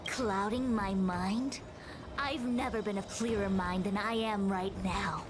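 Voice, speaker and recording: An actress playing an imperious seer possessed by a spirit, coldly fatalistic and condescending, in dramatic video game style. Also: female voice, mid-range voice, fairly even intonation, unhurried, background noise, lightly acted. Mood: lofty certainty. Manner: declamatory, condescending.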